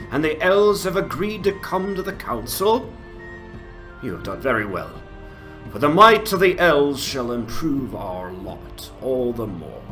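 Man acting a grave, forceful ranger leader. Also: fairly high pitch, voice pushed up, heavily acted. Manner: formal, measured. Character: male ranger leader, grave, courteous, commanding. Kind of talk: fan dramatization of fantasy game quest.

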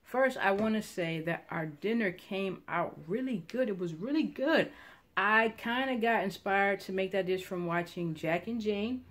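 A woman's voice: medium pitch, a little slow. A woman in her thirties chatting playfully in a home vlog, filmed on a phone camera at arm's length on a staircase. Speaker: family vlogger. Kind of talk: casual home vlog chat.

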